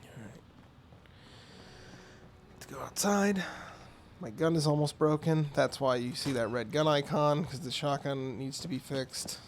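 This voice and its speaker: A man streaming a game with casual, rambling commentary, hoarse, raspy and a bit mumbly from being sick. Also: a little high, a little slow, pauses often, slight background noise. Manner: rambling, chatty asides.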